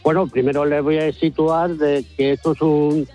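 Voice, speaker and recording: A man's voice: on the high side, flat delivery, moderate pace, dark tone. A man talks as a radio caller. He is polite and a little hesitant as he explains things casually, with faint music underneath. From La Mancha, Spain.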